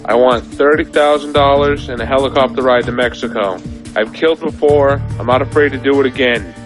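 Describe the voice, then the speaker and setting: A man's voice: medium pitch, fairly quick. A man making blunt, menacing demands as a prank caller on a recorded emergency phone call. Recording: archived phone call, music behind.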